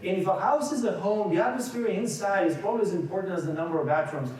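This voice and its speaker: A man's voice: sits high, fast, a little mellow, a little hiss or hum. A man in his forties from Bulgaria leading a seminar, talking conversationally with frequent restarts and questions to the room. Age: 40s. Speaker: workshop leader. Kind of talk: interactive seminar lecture. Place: large echoey meeting room. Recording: echoey room recording from far off.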